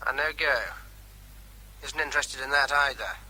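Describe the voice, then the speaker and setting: A man's voice: mid-range voice, normal speed, sharp tone, rough recording. An actor playing a facetious, nervy army captain giving a running commentary as he works on a bomb, with faint noise behind, in a radio drama. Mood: jokey, nervy.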